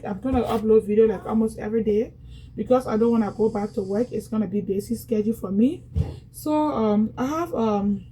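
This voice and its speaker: A woman's voice: a little high, dark tone, a little hiss or hum, poor audio. A woman from Liberia with a Liberian accent, chatting casually to viewers in a product unboxing vlog at home, repeating herself as she goes. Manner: chatty, repetitive, talks straight to viewers. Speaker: vlogger.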